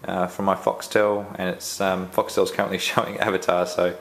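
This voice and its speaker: An Australian man giving a casual unboxing walkthrough at home, halting and full of ums and restarts. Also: sits low, little pitch movement, a little hiss or hum. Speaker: tech reviewer. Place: indoors at home, filming the box up close.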